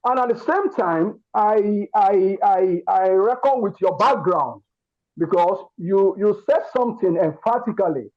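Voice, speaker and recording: A man's voice: sits high, animated, a little slow, phone-quality sound. A man with a Nigerian Yoruba accent gives an earnest testimony to a group, speaking emphatically and often repeating his words and phrases. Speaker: participant in an online masterclass giving feedback.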